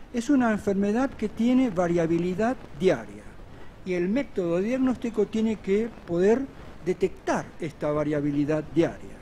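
A middle-aged man speaks into a stand microphone at a podium in a large conference hall. His voice sits high yet sounds dark and mellow. He lectures in a measured, earnest way, often posing a question and then answering it. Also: a little hiss or hum.

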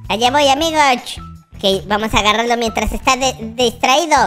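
A performer voicing an excitable, naive baby boy with a childlike squeaky voice, giving dramatic, exclamatory roleplay commentary for a children's gaming video. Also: normal speed, soft background music, natural voice. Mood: determined. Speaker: YouTube gamer playing a character.

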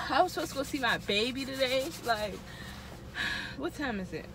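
A woman's voice: high voice, expressive, a little slow. A young woman vlogging on her phone outdoors by her car in the cold, frustrated and swearing as she talks to her viewers. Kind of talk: casual vlog. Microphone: phone camera held low. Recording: loud outdoor noise.

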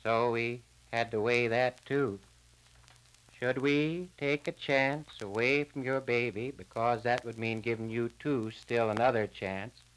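An actor playing an earnest small-town man delivering a verdict in a measured, plain-spoken way, on a crackly 1940s radio drama disc. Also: mid-range voice, steady tone, a bit bright, clean tone, natural voice. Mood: solemn, reasoning.

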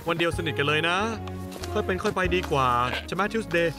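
A man's voice: fairly high pitch, a little fast, over music, lightly acted. An actor voicing a young, good-natured male castaway in a cartoon dub, easygoing and chatty with animals. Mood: cheerful. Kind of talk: cartoon dubbing.

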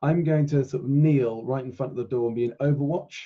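A man's voice: medium pitch, fast. A man chatting as a player in a tabletop roleplaying game over a muffled online call. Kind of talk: casual game chat.

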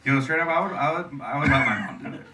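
A young man co-hosting a live podcast on a club stage with a handheld mic, rambling through stories and swinging between loud and soft. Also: mid-range voice, lively intonation, fast, far from the mic, a crowd in the background, low-quality recording. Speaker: podcast co-host. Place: comedy club stage before an audience.

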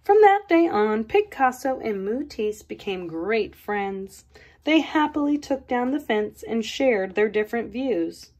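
Lively, unhurried woman reading a picture book. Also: a little high. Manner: expressive storybook reading with playful voices for quotes. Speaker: art educator reading aloud. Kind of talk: children's picture book read-aloud.

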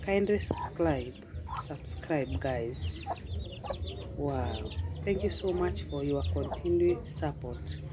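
A woman's voice: sits low, lively intonation, takes it slowly. A middle-aged woman with a Kenyan accent, hosting a casual village cooking vlog outdoors and exclaiming "wow" often. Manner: enthusiastic, repeats "wow". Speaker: vlogger. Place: outdoors in a rural village yard. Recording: loud outdoor background noise.

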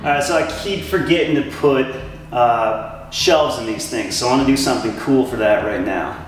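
A man's voice: mid-range voice, moderate pace, slight background noise. A man narrating a DIY renovation vlog in a casual, informal way, explaining things hands-on as he works. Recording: echoey room, music underneath.

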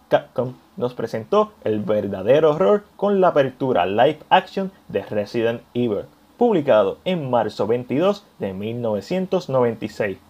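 A man presenting a scripted video essay as channel host, addressing viewers in an expressive voice-over. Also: mid-range voice, dull audio.

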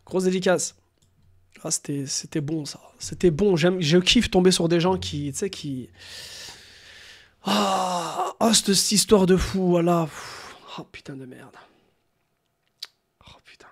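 A young man doing a casual live stream of crude prank calls, animated, slangy and prone to swearing. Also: high-pitched, a little slow, long pauses. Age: young adult. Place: small room at home.

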